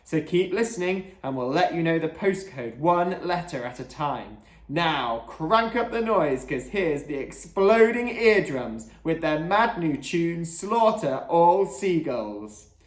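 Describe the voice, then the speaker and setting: A storybook reader voicing a gruff, brash male DJ on an illegal metal radio station, with a gravelly voice and slangy hype. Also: mid-range voice, expressive, slow pace, roomy sound, pitched above the performer's own voice. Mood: rowdy hype.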